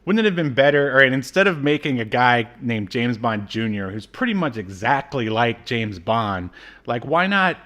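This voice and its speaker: A man's voice: mid-range voice, expressive, normal speed, a bit bright. A man co-hosting a podcast, giving a casual, comic recap with incredulous, mocking asides. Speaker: podcast co-host.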